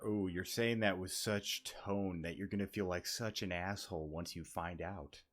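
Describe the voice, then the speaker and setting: A man's voice: low voice, steady tone, moderate pace, a bit bright. A man giving casual let's-play commentary, blunt and sweary, with muttered asides. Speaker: gaming streamer reacting to a story quest. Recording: background game music.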